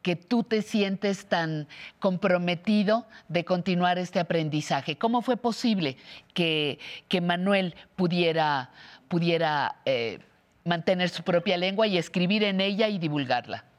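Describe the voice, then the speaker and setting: Low-voiced woman hosting an interview. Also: measured pace. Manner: warm, affirming interjections. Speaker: host.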